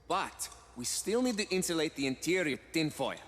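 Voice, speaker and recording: An earnest, higher-voiced nerdy techie. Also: male voice, sing-song, fairly quick, fairly bright tone, big-room sound. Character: earnest, nerdy, eccentric male tech mechanic. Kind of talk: video game cutscene acting.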